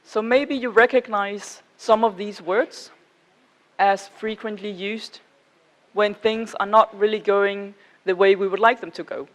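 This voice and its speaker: A woman from Denmark with a Danish accent, presenting to a conference audience in an informal, measured manner and checking in with her listeners. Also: medium pitch, fairly even intonation. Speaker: conference speaker.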